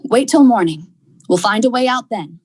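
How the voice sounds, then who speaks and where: A woman's voice: fairly high pitch, animated, moderate pace, slightly rough. A young woman acting a tough, dismissive male crook in vintage radio drama style, with folksy slangy delivery. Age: young adult. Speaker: student actor.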